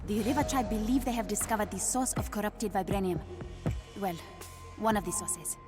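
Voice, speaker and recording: A woman playing a sharp, fierce royal ally in a video game, speaking seriously with an African accent. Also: mid-range voice, moderate pace, music in the background, lightly acted. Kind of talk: video game cutscene acting.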